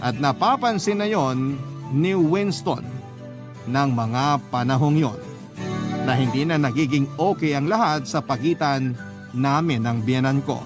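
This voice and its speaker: A man's voice: mid-range voice, unhurried, close to an everyday voice. A Filipino man reading a troubled young mother's letter as dramatic first-person radio narration. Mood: earnest, troubled. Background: music bed.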